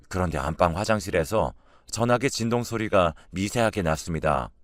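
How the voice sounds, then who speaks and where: A man's voice: low voice, no room sound, clean studio sound. A man reading a scripted first-person story as its narrator.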